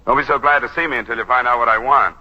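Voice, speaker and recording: An actor playing a brash, wry lawyer who schemes and smooth-talks, in 1940s radio drama style. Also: male voice, mid-range voice, fairly even intonation, natural voice. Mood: wry, breezy. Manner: wry, fast-witted, smooth-talking. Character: brash, wisecracking young lawyer turned schemer, slick and probing. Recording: dull 1940s radio broadcast recording.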